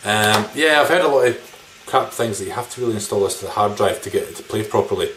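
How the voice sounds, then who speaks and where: Male vlogger, thirties, lowish pitch, loose and rambling informal delivery, home recording with slight noise. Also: quick pace, a bit bright, low-quality recording. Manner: rambling, informal, mildly sweary. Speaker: video game collector vlogger. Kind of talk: casual chat showing game pickups to camera. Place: small living room indoors. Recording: background noise, room ambience.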